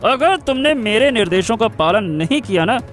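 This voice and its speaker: Cartoon villain: scheming chief minister, very high pitch, menacing, self-important, drawn-out delivery. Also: male voice, animated, a bit bright, soft background music. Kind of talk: Hindi cartoon story voice acting.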